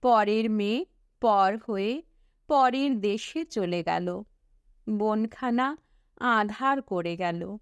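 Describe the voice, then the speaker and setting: A woman reading a literary tale as an audiobook narrator, in a measured, expressive storytelling manner. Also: medium pitch, clear, resonant tone, dry recording, clean studio sound. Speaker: audiobook reader.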